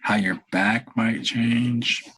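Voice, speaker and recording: Slow, husky, low-voiced man guiding meditation. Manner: calm, unhurried, halting with long pauses. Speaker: meditation leader, podcast host. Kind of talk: guided meditation, softly spoken instruction.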